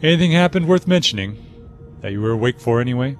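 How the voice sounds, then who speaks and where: A man's voice: medium pitch, animated, quick pace, faint music behind, lightly acted. An actor playing a teasing, watchful armed spaceship crewman in an audio drama.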